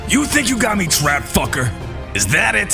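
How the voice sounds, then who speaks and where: An actor playing a cocky, foul-mouthed, fearless male supernatural fighter in an audio drama, gravelly and taunting. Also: sits high, very animated, normal speed, recorded from a distance, music in the background, full character voice. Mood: aggressive taunting. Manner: taunting, cocky, swearing drawl.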